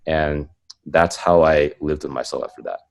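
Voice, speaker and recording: A man's voice: a bass voice, steady tone, moderate pace, gravelly. A young man in casual conversation, rambling and filling his speech with "like" and "um". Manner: rambling, heavy use of "like" and "um". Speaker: podcast guest. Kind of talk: casual chat.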